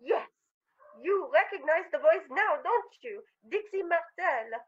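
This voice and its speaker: A woman's voice: very high voice, animated, a little slow. A woman playing an eerie, taunting caller posing as a dead woman in a radio crime drama, through a telephone filter. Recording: dull, band-limited phone-style sound.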